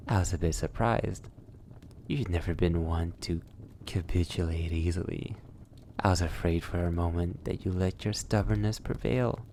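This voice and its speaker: A man playing a suave, sadistic vampire lord in an intimate ASMR roleplay, formally polite, breathy and teasing. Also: sits low, a little mellow, a little hiss or hum.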